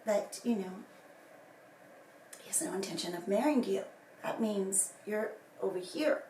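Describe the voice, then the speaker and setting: A woman giving a rambling personal account, her voice still hoarse and breathy from illness, often dropping into whispers. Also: medium pitch, unhurried, dark tone, a little hiss or hum. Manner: rambling, many fillers and restarts. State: recovering from lost voice, hoarse and whispery. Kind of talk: casual personal statement to camera. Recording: echoey room from a distance, faint ambience.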